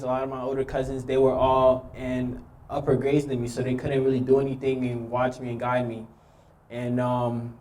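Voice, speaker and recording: Nervous teen guy from haiti telling his story, flat mellow voice, lots of ums. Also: mid-range voice. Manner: nervous, hesitant, many ums. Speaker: youth speaker sharing his story. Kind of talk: personal testimony speech.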